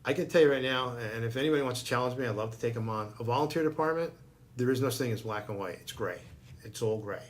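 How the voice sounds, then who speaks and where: Fast-talking, plain-spoken male fire chief. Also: medium pitch. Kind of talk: casual opinionated discussion.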